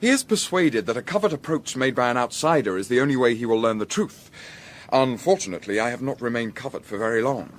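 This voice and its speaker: An actor playing a tenacious young gentleman investigator in a period audio drama, with a polite, measured, formal manner. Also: medium pitch, expressive, natural voice. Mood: firm, polite. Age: young adult.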